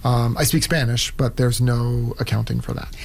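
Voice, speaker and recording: Middle aged guy telling his story casually, really creaky gravelly voice. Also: mid-range voice, level tone, moderate pace. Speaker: interviewee, immigrant doctor. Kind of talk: conversational personal storytelling.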